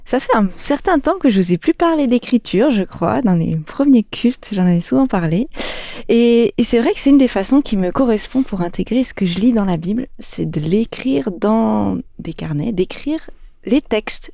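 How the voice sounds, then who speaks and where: Mellow woman, candid, close and dry. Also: on the high side, expressive, moderate pace, vocal fry, no echo. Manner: candid, self-mocking. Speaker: show columnist. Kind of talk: personal reflection, conversational. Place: radio studio.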